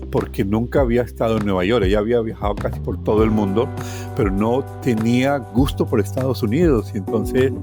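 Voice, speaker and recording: Low-voiced Colombian man telling stories. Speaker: interview guest, tour guide. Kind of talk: personal storytelling.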